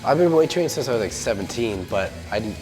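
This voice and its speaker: A man's voice: medium pitch, lively intonation, quick pace. A man in his thirties chatting casually and rambling with lots of fillers while working out in a gym. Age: 30s. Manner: rambling, filler-heavy, upbeat. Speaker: podcast host working out. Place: large echoey gym. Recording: echoey gym with music behind.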